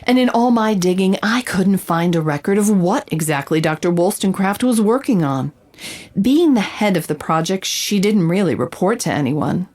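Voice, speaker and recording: An actress playing a confident, sly investigative reporter in a noir-style audio drama, with a wry, knowing and measured delivery. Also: female voice, mid-range voice, animated, darkish tone, lightly acted. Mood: matter-of-fact narrating. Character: confident, sly female investigative reporter narrating her case.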